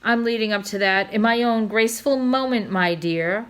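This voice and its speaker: A woman's voice: fairly high pitch, normal speed, a bit bright, clear, resonant tone, lightly acted. A woman voicing a pompous, passionate father swan in a storybook read-aloud, declaiming in grand, florid style. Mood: determined, grand.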